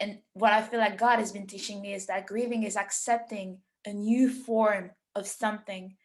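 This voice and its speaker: A woman, a guest talking earnestly and searching for words, sometimes repeating them as she thinks. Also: mid-range voice. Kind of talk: reflective conversational talk.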